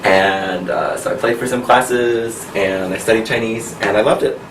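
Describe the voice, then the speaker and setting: A friendly man from the United States, in his thirties, talks through his music career slowly and clearly, laughing easily. His lowish voice comes from a distance in an echoey room over a steady hum of air conditioning noise. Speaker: pianist and vocal coach. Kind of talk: relaxed interview answers.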